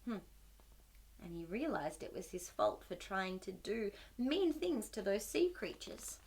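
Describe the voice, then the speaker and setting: Female children's storytime host: very lively pitch swings, unhurried delivery, exaggerated wonder for young listeners. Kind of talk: animated picture-book read-aloud for young children.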